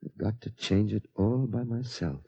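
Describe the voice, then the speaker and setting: A man's voice: on the low side, normal speed, vocal fry, dark tone, husky. A man playing an earnest, burdened father in a 1950s radio drama. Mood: grave, resolute. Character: earnest, burdened father determined to save his son. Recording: dull old radio drama recording.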